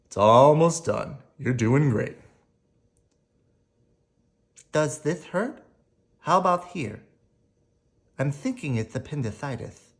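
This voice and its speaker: An adult reader as a gentle, unhurried reassuring caregiver. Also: medium pitch, very animated, pitched above the performer's own voice. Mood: gentle, professional. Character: reassuring grown-up medical staff and parents, gentle. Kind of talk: picture-book read-aloud character voice.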